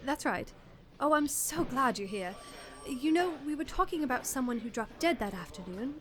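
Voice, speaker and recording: A woman's voice: high voice, expressive, normal speed, a bit bright. A woman playing a practical, protective young woman in a radio drama, reasoning and pleading with her partner. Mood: polite, uneasy.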